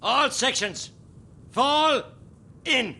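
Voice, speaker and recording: A man's voice: extremely high pitch, expressive, creaky, bright, forceful tone, husky, heavily acted. An actor playing an Irish rebel column commander in a radio play, rousing and solemn, declaiming like a man giving orders. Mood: shouted command. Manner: slow, declamatory, barking orders.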